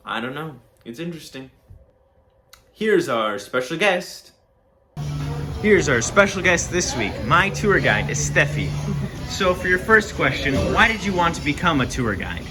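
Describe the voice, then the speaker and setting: A young man hosting a small show, reading segment intros and interview questions in a halting way with many fillers. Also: fairly high pitch, bright, forceful tone. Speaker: host. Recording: roomy sound with music underneath.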